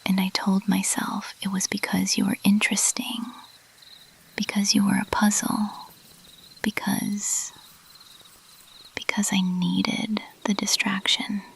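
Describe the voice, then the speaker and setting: A woman voicing a weary, guarded bat-winged monster girl in a halting, hushed, confessional ASMR roleplay whisper. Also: mid-range voice, completely flat delivery, creaky. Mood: hesitant confession.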